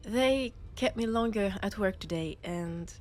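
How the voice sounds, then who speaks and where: A woman voicing a young space miner with a French accent in a sci-fi audio roleplay, talking with her best friend. She speaks slowly and hesitantly, often trailing off into pauses. Her pitch sits in an ordinary middle range, and a faint ambient hum runs underneath. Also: close to an everyday voice. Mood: apologetic.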